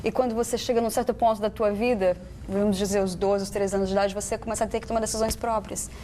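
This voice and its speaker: Young Brazilian woman, fluent and explanatory, mid pitch. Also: steady tone, fast talker, dark tone, a little hiss or hum. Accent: Brazilian Portuguese. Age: young adult. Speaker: nursing student. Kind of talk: casual panel chat.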